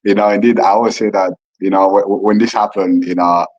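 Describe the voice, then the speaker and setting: A husky, deep-voiced man in his forties from Rwanda, a Kinyarwanda native speaker talking in a flat, even tone. He sounds earnest and reflective, often repeating words as he finds them. He is recorded on a phone, so the sound is dull and lo-fi. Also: fast, no room sound. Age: 40s. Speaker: podcast guest. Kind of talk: casual remote interview.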